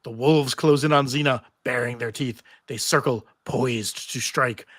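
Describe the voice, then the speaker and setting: A man's voice: mid-range voice, steady tone, unhurried, fairly bright tone, no room sound, studio quality. A man narrating stage directions from a script at a live table read.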